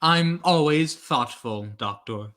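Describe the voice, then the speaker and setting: A man's voice: fairly high pitch, lively intonation, slow, fairly bright tone. A man acting in an old-time radio drama as a cool, conscientious hired killer, with formal, understated politeness. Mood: dryly proud.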